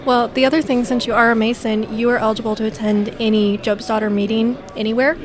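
A woman in her twenties explains things in an informative, matter-of-fact way, with an occasional filler. Her voice sits slightly high. A loud crowd chatters in the hall behind her. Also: flat delivery, quick pace. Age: 20s. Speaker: youth organization officer. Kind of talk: informative interview answers.

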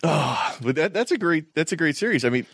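A man's voice: on the high side, big pitch swings, fairly quick, a bit bright, breathy edge, dry recording, studio quality. A man co-hosting a podcast in casual chat, rambling and self-deprecating, with many restarts. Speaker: podcast co-host.

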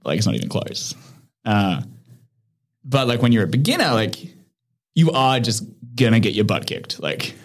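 A man's voice: lowish voice, big pitch swings, moderate pace, long pauses. A man who founded an online chess training platform, speaking reflectively as a guest in a casual interview and often laughing as he searches for words.

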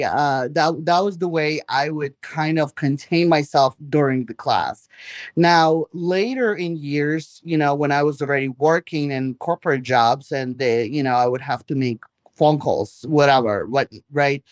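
A woman's voice: low-pitched, unhurried, dry recording. Chatty woman. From Belarus. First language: Russian. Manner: chatty, rambling, frequent restarts. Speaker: guest artist. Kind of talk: live-streamed interview.

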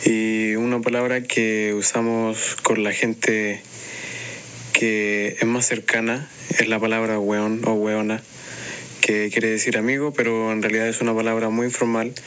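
A man from Chile speaks with a strong Chilean Spanish accent, fast and clipped, swallowing some consonants. His voice is low, smooth and resonant, held to a fairly flat, even pitch. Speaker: contributor. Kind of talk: recorded accent sample.

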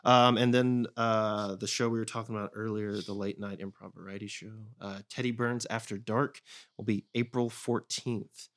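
A man's voice: on the low side, level tone, moderate pace. A man chatting casually as a podcast guest, joking in a mock-grumpy way. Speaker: podcast guest, sketch comedy performer. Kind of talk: casual chat.

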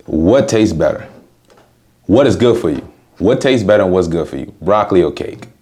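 A man with a lower voice hosts a podcast. His pitch moves in a lively, animated way, and he talks in loose, casual slang and agrees often. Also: moderate pace. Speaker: podcast host.

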